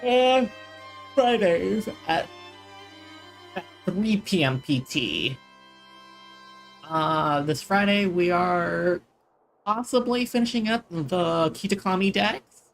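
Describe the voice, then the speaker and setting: Creaky-voiced, chatty game streamer. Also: medium pitch, expressive, slow, pauses often. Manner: chatty, musing to self, trailing off. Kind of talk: casual game livestream commentary. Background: faint game music.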